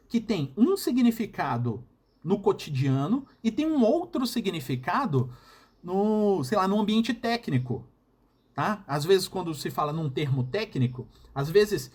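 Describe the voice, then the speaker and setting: A man with a Brazilian Portuguese accent and a husky voice, giving a recorded university lecture and often checking in with the class. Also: high voice. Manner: explanatory, frequent checking "tá?" and "né?".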